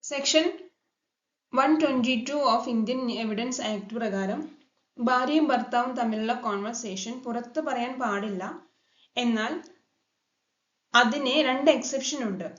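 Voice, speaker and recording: A woman's voice: a little high, measured pace, long pauses, a bit bright. A Malayali woman presenting a scripted legal explainer in a formal manner, mixing in English legal terms. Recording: dull lo-fi sound, echoey room.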